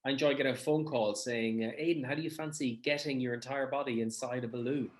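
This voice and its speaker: A man with a middling pitch tells stories in a relaxed, casual chat. He talks as a pantomime performer looking back on his shows. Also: fast talker.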